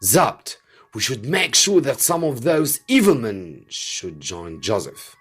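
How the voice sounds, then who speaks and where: A man voicing an anxious, doubtful gentleman in a storybook reading, agitated and questioning. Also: medium pitch, sing-song, takes it slowly, slightly rough, pitched above the performer's own voice, exaggerated voice. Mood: grimly resolved. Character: anxious, doubtful gentleman reluctantly posing as king.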